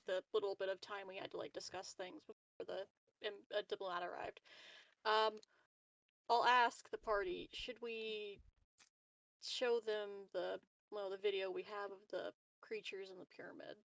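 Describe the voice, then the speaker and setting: A woman with a mid-pitched, creaky voice talks as a player in a tabletop game. She is casual and halting, recorded close and dry. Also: fairly even intonation, dry recording, rough recording. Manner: halting, informal.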